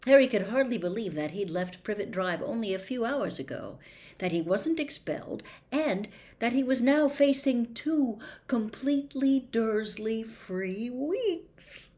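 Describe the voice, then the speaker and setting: A woman reading a story aloud as expressive audiobook narration, with measured, dramatic phrasing. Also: fairly high pitch. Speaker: storybook reader.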